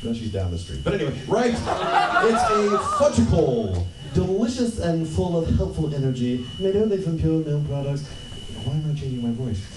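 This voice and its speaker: A man's voice: on the high side, sing-song, normal speed. A man announcing an old-time radio show live, in a hammy, playful way with comic asides. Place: live panel in a room. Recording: echoey noisy convention room, distant mic.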